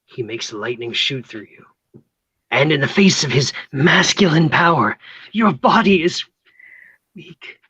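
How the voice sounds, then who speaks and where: A woman acting a nosy, motherly confidante hungry for romance, husky and theatrical in a comic play read. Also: low-pitched, unhurried, long pauses, a bit bright, no echo, full character voice. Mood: thrilled, breathless. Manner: theatrical, breathlessly prying. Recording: dull lo-fi, close dry sound.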